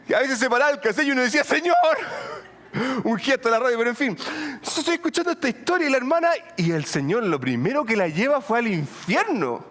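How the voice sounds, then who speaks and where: A middle-aged man with a Colombian accent, preaching a sermon in an animated, humorous way with asides to the congregation. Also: extremely high pitch, quick pace. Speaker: pastor. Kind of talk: sermon with storytelling.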